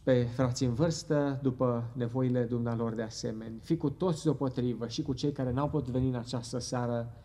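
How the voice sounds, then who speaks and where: Middle-aged guy praying out loud at church, earnest, a bit halting, pulpit mic. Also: mid-range voice, steady tone. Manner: earnest, fervent, halting at times. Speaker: preacher leading prayer. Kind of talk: closing church prayer. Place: church hall with wood paneling. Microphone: pulpit microphone.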